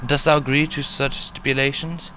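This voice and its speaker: A man's voice: mid-range voice, flat delivery, normal speed, bright, forceful tone, a little hiss or hum, pitched above the performer's own voice, natural voice. Man as a stern, archaic moon princess pony. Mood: stern, formal. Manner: formal archaic speech with thee and thou. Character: smaller dark blue female alicorn princess, archaic and stern.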